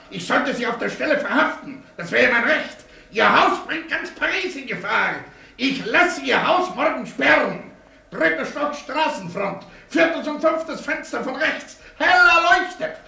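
Shrill, barking air-raid warden. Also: male voice, animated, unhurried, vocal fry, pushed and bright, heavily acted. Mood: outraged, threatening. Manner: barking, hectoring. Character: officious, outraged male air-raid warden, scolding and threatening. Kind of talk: radio drama acting. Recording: echoey room, far from mic.

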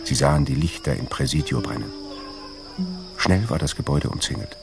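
Man narrating radio drama, super deep gravelly voice, loud music underneath. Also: lively intonation, a little fast. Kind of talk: scripted radio play narration.

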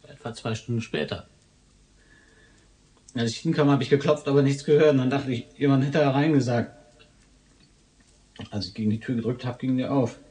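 An actor playing a frightened, defensive male suspect in a German radio drama, haltingly giving his account. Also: mid-range voice, dark tone, natural voice. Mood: shaken.

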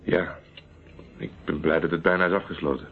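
An actor playing a calm, blunt yet courteous private investigator in a Dutch radio drama, speaking in a measured, direct way. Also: male voice, very deep voice, slight background noise. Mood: courteous, guarded. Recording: narrow, tinny phone-like old radio recording.

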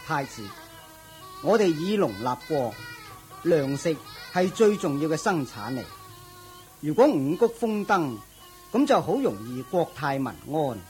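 A man's voice: fairly high pitch, big pitch swings, a little slow, a little mellow, faint music behind. A man playing a dutiful royal prime minister in a Cantonese audio drama, deferential to his master and dismissive of commoners. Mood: explaining.

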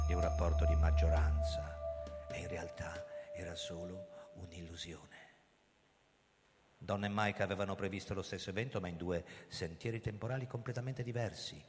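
A weary old police chief played by a man narrates in a radio drama, proud and lucid. His delivery is theatrical and emphatic, set in long reverb with loud music underneath. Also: fairly low pitch, lightly acted. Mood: grave, quiet. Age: older.

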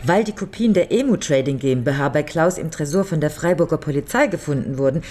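Low-voiced, resolute woman lawyer. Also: lively intonation, fairly quick, a little mellow. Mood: brisk, deliberate. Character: resolute, level-headed woman lawyer taking charge of a plan. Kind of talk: German radio crime drama acting.

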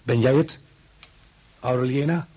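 An actor playing a haunted, frightened young gambler in a radio drama. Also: male voice, medium pitch, steady tone, measured pace, darkish tone, slightly rough. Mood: fearful, tense. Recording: noisy old radio drama recording.

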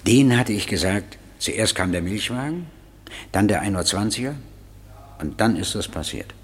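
An actor playing a chatty railway crossing keeper with a folksy storytelling manner in a German radio crime drama. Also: male voice, lowish voice, expressive, normal speed, breathy edge. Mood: chatty, amiable.

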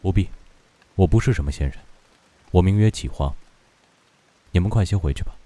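Bright, low-voiced aloof immortal played by a man. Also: a little fast, no echo, close to an everyday voice. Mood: calm, assured. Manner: composed, dryly teasing. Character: aloof teasing male immortal, calm, unhurried, self-assured. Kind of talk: Chinese fantasy audio drama acting.

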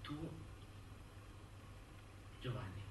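An actor playing a wounded young man committed to an asylum by his family, speaking in deliberate, theatrical phrases with pauses. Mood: subdued, melancholy. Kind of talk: stage monologue acting. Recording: roomy distant stage recording with music. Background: music.

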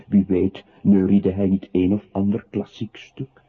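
A man performing as narrator of a literary radio drama, telling the story in an expressive, dramatic way. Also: low-pitched, takes it slowly, heavily acted. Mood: wry. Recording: tinny band-limited audio with clear noise.

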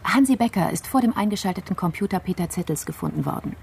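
Creaky-voiced actress as female investigator, measured. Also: medium pitch, expressive, a little mellow, a little hiss or hum, lightly acted. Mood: measured, certain. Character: methodical female investigator explaining how a murder case unfolded. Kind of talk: radio play acting.

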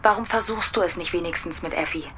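Reserved woman dodging a suitor, radio play, bright tense voice, some background noise. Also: fairly low pitch, fast talker. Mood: evasive, firm. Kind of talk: German radio play acting.

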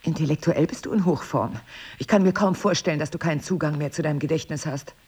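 An actress playing a wry, guarded, passionate middle-aged wife in an intimate audio drama, husky and breathy, with an ironic and emotional delivery. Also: female voice, deep voice, quick pace, darkish tone. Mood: dry, wry.

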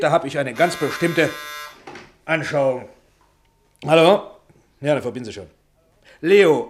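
An actor in a German radio play playing a bossy, self-satisfied Hollywood producer with a brisk, jovially pushy manner. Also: male voice, on the high side, natural voice. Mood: impatient, hard-bargaining. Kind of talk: German radio drama acting.

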